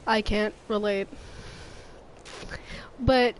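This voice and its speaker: A woman's voice: medium pitch, steady tone, drawn-out words, a little hiss or hum, rough recording. A woman streaming a game with casual, rambling commentary, playful and dryly sarcastic.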